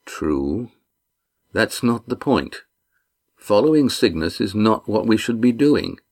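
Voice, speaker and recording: A man's voice: lowish voice, sing-song, slow, a little mellow, voice pushed up, heavily acted. A male narrator voicing a proud, injured sailing master who talks in clipped, curt replies. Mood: terse.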